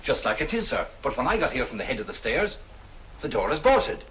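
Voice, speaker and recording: An actor playing a defensive but deferential museum guard in a drama, with a British working-class accent and an excitable, colloquial way of protesting. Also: male voice, medium pitch, brisk, dull audio, noisy background, natural voice. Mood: eager explaining.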